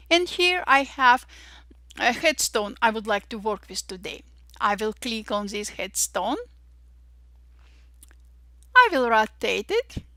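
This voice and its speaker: A young woman with an Armenian-Russian accent, cheerfully walking through software steps one by one and saying "voila" after each result. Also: a little high, no echo, studio quality. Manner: cheerful, says "voila" and "perfect" often, slow deliberate steps. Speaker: Photoshop tutorial instructor. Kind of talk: step-by-step software tutorial narration.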